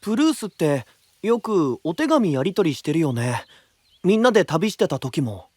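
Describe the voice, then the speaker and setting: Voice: mid-range voice, very animated, moderate pace, darkish tone, no room sound. An actor voicing a friendly, eager teenage boy knight with casual, openly curious speech in an anime-style audio drama.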